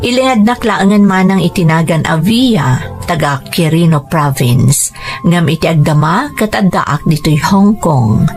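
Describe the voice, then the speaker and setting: Low-voiced Ilocano woman storyteller over music. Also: animated, measured pace, darkish tone. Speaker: storyteller and host. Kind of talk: reading greetings and listener letters. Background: background music.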